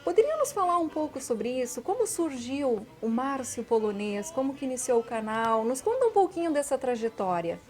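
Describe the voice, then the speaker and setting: A polite woman hosts an interview show, her voice a little high for a woman. She opens with formal introductions and asks her questions courteously. Faint music plays behind her. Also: sing-song, moderate pace, hardly any pauses, darkish tone. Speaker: show host. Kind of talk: interview hosting.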